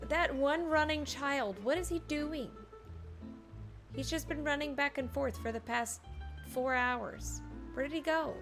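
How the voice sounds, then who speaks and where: A woman's voice: high-pitched, unhurried. Playful woman streaming over game music. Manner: playful asides, chatting to viewers. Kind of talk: casual livestream commentary. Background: video game music.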